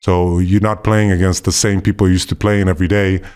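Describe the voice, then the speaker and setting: A man from Poland with a somewhat low voice, co-hosting a podcast. He talks in a relaxed, rambling way and gets enthusiastic as he explains his plans. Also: fairly quick, clean tone. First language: not Polish; left Poland at five. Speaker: podcast co-host, former basketball player. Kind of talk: casual podcast conversation.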